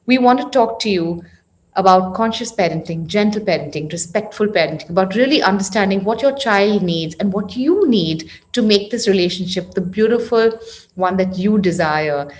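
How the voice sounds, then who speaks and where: A woman's voice: medium pitch, normal speed. Woman hosting a conversational interview. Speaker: interview host, founder of a parenting series. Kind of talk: warm conversational interview introduction.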